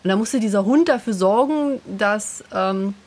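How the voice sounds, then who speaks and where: A woman's voice: mid-range voice, a little slow, a little mellow. A woman chatting casually and nostalgically in a group, with an enthusiastic, gushing manner. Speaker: podcast co-host.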